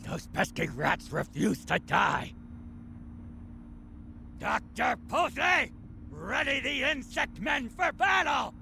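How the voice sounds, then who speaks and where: Evil old general in a game, gravelly, slow drawn out delivery, swings all over, bossy. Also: male voice, extremely high pitch, sing-song, sharp tone, raised voice, slight background noise, full character voice. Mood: angry commanding. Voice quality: gravelly, creaky. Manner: theatrical, commanding, drawn-out delivery. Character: old tyrannical male military commander, cackling, power-hungry villain. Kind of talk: video game villain acting.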